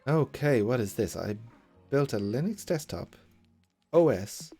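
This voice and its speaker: A man's voice: medium pitch, lively intonation, unhurried, mellow, faint music behind. A man in his thirties with a mild Irish accent, chatting in a rambling, jokey way to his live-stream chat. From Ireland. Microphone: good desk mic at computer.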